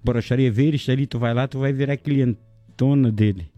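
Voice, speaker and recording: A man hosting a casual local radio show, jokey and chatty, giving shout-outs to listeners and sponsors. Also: medium pitch, steady tone, normal speed, muffled recording, faint music behind. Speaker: radio host.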